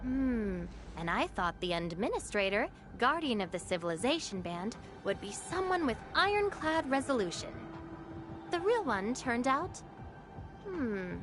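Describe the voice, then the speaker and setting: A woman's voice: fairly high pitch, big pitch swings, measured pace. A woman playing an enigmatic, self-assured female companion in a video game, teasing and aloof, shifting between formal phrasing and flippant slang. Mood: musing, probing. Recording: music under the voice.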